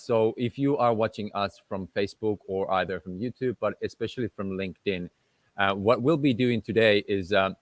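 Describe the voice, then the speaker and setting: A man hosts a live-streamed interview, his voice low and deep. His speech is friendly and loose, full of little fillers. The sound is dry and close, with no room around it. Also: dry recording. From Finland. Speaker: host. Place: at home in a tropical island location.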